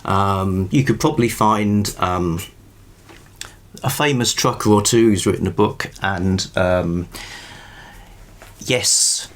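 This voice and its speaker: A British man rambling informally about books to camera in a halting, thoughtful, wryly amused way. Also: low-pitched. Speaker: book review vlogger.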